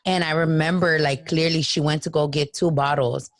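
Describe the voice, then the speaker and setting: A raspy-voiced woman in her late twenties, a podcast guest, telling a story casually, acting out quotes and swearing freely. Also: sits low, fairly even intonation, normal speed.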